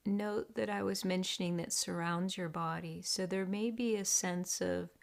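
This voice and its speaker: A woman leading a guided meditation in a deliberate, gentle, hushed manner with long pauses. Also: on the low side, steady tone, creaky. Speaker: meditation teacher.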